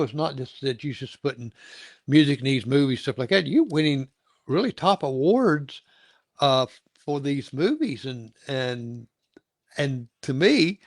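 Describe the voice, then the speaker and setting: A man's voice: medium pitch, no echo. Rambling folksy man hosting, dry close sound. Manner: rambling, folksy, repeats himself. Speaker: talk show host and interviewer. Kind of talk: casual interview chat.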